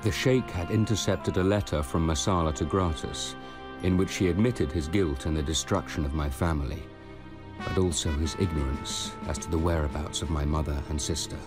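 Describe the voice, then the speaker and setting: A young, wronged soldier set on revenge in a radio drama. His voice is very low, and he speaks with measured, earnest formality over clear music. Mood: grave, reflective. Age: young adult. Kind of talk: radio drama acting and first-person narration.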